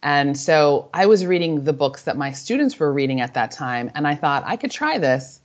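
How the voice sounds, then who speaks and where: Low-voiced woman, native Spanish speaker. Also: big pitch swings, normal speed. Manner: warm, reflective storytelling with frequent restarts. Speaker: author and guest. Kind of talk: interview answers. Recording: slightly dull lo-fi audio.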